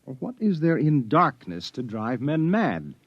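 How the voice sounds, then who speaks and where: A man's voice: medium pitch, sing-song, measured pace, husky, no echo, heavily acted. An actor playing a young male reporter in a 1950s radio drama, asking skeptical questions. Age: young adult. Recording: dull old radio recording.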